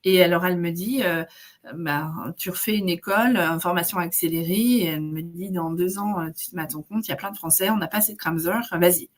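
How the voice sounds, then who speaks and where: A woman's voice: fairly low pitch, fairly even intonation, fast. A woman in her forties telling her story in a casual interview, chatty with many fillers. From Netherlands. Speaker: guest, maternity carer.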